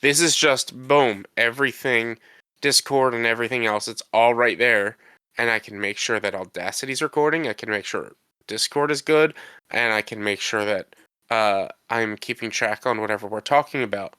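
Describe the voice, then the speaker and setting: Congested podcast co-host man, bright crisp tone, rambles and defends himself. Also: mid-range voice, moderate pace, no echo. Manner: rambling, defensive, restarts often. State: congested from a cold. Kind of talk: casual chat.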